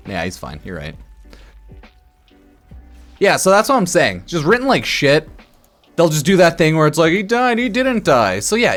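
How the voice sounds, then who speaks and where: A slightly drunk man giving casual, sarcastic game commentary on a stream, blunt and quick to swear. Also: medium pitch, fairly bright tone. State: a bit drunk.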